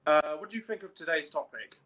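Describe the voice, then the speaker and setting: A man's voice: a little high, expressive, fast, a bit bright. A young man hosting a podcast over a video call, chatting in an informal, jokey way. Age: young adult. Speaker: podcast host. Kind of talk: casual video podcast introduction. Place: small room at home, on video call.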